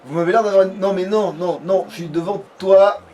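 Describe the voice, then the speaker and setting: A man's voice: high voice, fairly quick, darkish tone. A man chatting casually as he comments on a video game, swearing and joking in colloquial language. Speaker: let's play gamer. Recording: distant mic, noisy background.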